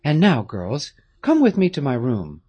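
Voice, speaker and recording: A gentle noblewoman speaks tenderly to her family, as a man voices her. The husky voice is pitched high and moves widely. It is a storybook figure. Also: wildly swooping pitch, measured pace, lo-fi sound, full character voice. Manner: soft, tender. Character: gentle noble French mother, Marquise.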